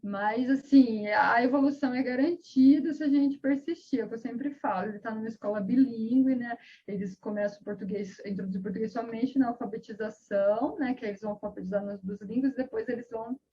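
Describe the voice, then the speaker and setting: A woman's voice: a little high, fast talker, few pauses, husky, muffled recording. A woman guest on a video call interview, speaking earnestly about raising a bilingual child, with many fillers and restarts.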